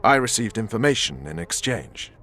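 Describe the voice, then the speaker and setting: A man's voice: on the low side, sing-song, normal speed, a bit bright, a little hiss or hum. A man acting a hard, blunt soldier and spymaster in a fantasy video game, clipped and intense. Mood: matter-of-fact.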